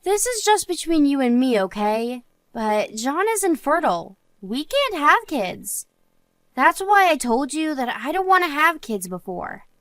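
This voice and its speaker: A woman's voice: high voice, very animated, measured pace, a bit bright, dry recording, full character voice. A woman playing an earnest married woman and loyal friend in a scripted story drama. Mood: earnest, confiding.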